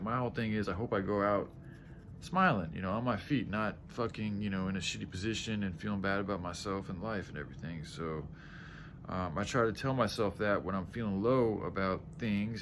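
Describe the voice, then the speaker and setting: A man with a groggy, tired voice talking casually to viewers in a halting, self-correcting, sweary ramble, often clearing his throat. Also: on the low side, a bit bright, a little hiss or hum. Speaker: vlogger.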